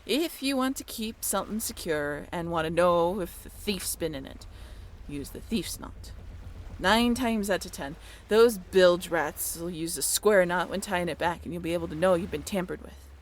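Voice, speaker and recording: A woman's voice: lowish voice, normal speed, a little hiss or hum, pitched below the performer's own voice, close to an everyday voice. A woman playing a salty female bosun in a roleplay audio drama, talking in theatrical speech with a teasing, instructing manner. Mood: brisk, instructing. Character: salty female bosun of a haunted sailing ship.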